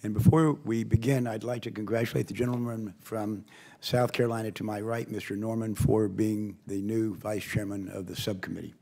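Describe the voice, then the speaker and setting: An older man with a hoarse voice, reading a formal opening statement as committee chairman with occasional asides. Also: on the low side, flat delivery, a little fast, dark tone.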